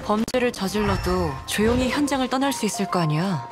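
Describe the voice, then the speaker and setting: A woman performs a cynical nun from a fantasy game. Her voice sits at a middle pitch, dry and detached, with blunt, sardonic phrasing. Music plays clearly behind her. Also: expressive, brisk, lightly acted. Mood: detached, matter-of-fact. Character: aloof, cynical adult nun, coolly suspicious. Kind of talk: game voice acting.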